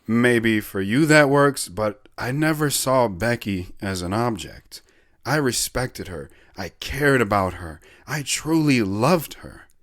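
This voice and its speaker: A man's voice: medium pitch, expressive, slow pace, a bit bright, no room sound, clean studio sound. A man playing an earnest war veteran who recounts his life story in a reflective, sentimental way in an audio drama.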